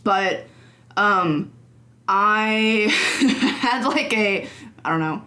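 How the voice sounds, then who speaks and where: A woman's voice: mid-range voice, lively intonation, very slow, pushed and bright. A young woman guesting on a casual podcast, a chatty storyteller who laughs easily and fills with ums. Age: young adult. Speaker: games journalist guest.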